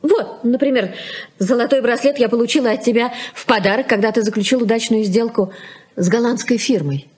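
A middle-aged wife and mother in a 1980s Soviet radio play. Her voice is husky and mid-pitched, and she speaks in a conciliatory, wistful way, gently coaxing and questioning. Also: a little fast. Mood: wistful, reproachful.

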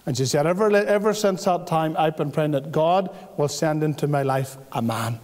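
A middle-aged man giving an animated personal testimony at a church pulpit, with bursts of excitement. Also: fairly high pitch, moderate pace. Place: church hall at a wooden pulpit. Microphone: pulpit microphone. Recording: long hall reverb from a church.

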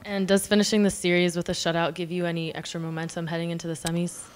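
A woman's voice: lowish voice, level tone, fast talker, clear, resonant tone. An American woman interviewing players and a coach at a post-game press conference, asking polite questions with a few ums. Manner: polite, with um fillers.

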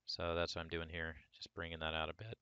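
Low, gravelly man getting over a cold. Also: fast, no room sound, muffled recording. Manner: relaxed, talks through each step as he works. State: hoarse from a cold, voice cracking. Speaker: 3D sculpting instructor. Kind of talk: screen-recorded software tutorial.

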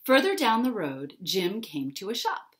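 A woman in her forties narrating a children's story expressively, with dramatic pauses and emphasis. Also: medium pitch, huge pitch swings, fairly bright tone. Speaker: picture-book author reading aloud. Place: small furnished room at home, indoors.

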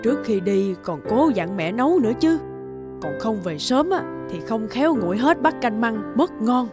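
A woman voicing a stubborn elderly village mother in an animated folk tale, with a dramatic, emotional delivery. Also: on the high side, normal speed. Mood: fretful, waiting. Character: elderly village mother, stern, stubborn, grief-stricken over son. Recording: loud background music.

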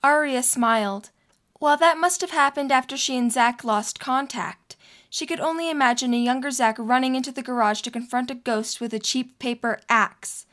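A woman's voice: high-pitched, a bit bright. A woman reading scripted story narration as the narrator of an audio drama.

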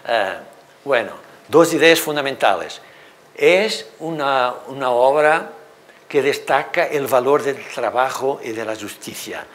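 A man's voice: medium pitch, slow. Portuguese-accented man lecturing on poetry. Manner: animated, gestures at projected slides, thinks aloud with many fillers. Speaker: literature professor. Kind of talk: university seminar lecture. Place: lecture hall. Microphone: lapel mic.

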